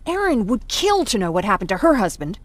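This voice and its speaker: A woman's voice: medium pitch, sing-song, a little fast. An actress playing a compassionate, outspoken woman of the resistance in a video game. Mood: urgent, compassionate.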